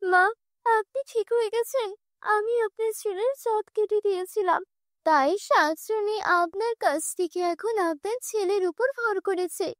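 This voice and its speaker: A woman's voice: very high voice, moderate pace, no echo, clean studio sound, pitched above the performer's own voice. A woman voicing an anxious young daughter-in-law in a cartoon horror tale, earnest and pleading.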